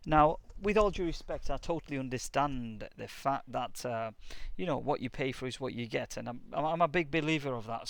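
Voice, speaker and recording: A man's voice: mid-range voice, a bit bright, no room sound. Rambling man, dry close sound. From England. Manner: rambling and hesitant, many fillers and "basically". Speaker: repair shop owner reviewing a product. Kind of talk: casual product test vlog. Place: indoor workshop, walking between rooms. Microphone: wireless earpiece, later a wired headset.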